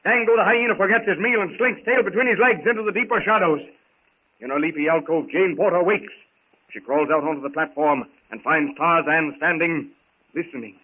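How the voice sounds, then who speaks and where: Theatrical higher-voiced male radio narrator. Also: brisk. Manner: florid, theatrical storytelling. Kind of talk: dramatic 1930s radio scripted narration. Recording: tinny, hissy 1930s radio recording.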